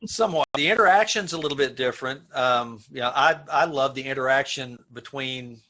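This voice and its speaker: A man with a bright, forceful voice at a middling pitch talks over a video call. He explains things casually, as a cast member filling in background. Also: fairly quick.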